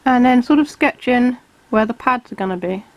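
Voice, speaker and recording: A woman with a mild cold, a touch hoarse, talks through a drawing tutorial in an easy step-by-step way. Her voice sits a little high. The recording is close and dry, with no room sound. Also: normal speed, no room sound, lo-fi sound. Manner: relaxed, explains step by step while drawing. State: has a cold, slightly hoarse. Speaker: art tutor.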